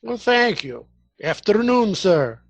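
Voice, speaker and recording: An actor playing a genial, folksy, deferential police officer in a radio comedy. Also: male voice, high-pitched, very animated, slow pace, creaky, slight background noise, exaggerated voice. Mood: cheerful, polite. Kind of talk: radio comedy theatre acting.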